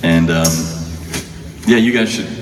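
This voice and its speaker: A man's voice: low-pitched, a little slow, a little hiss or hum. A man telling a wry, humorous story live on stage to an audience, in a colloquial way. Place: large hall, live stage show. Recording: echoey hall, distant, music behind.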